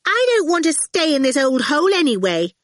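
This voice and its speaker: A bratty young girl from a storybook acted by a woman narrator. Her voice is pushed high and rude, blunt and demanding, recorded close and dry. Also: animated, slow pace, a bit bright, no echo, full character voice. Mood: sulky, rude. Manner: blunt, insistent. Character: rude, defiant, loud young girl, sulky troublemaker. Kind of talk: audiobook character voice.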